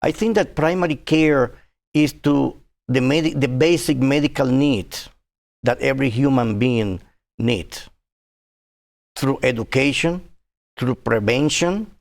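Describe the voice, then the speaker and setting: A man in his sixties from Cuba, speaking as a physician guest in a TV interview, earnest and halting with many fillers. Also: mid-range voice.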